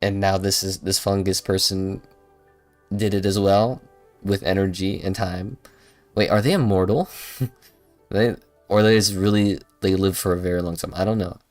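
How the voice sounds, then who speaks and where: A man's voice: sits low, steady tone, normal speed, no room sound. A man doing casual livestream game commentary, rambling informally and a little hesitantly. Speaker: game streamer. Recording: dry close voice, faint game music.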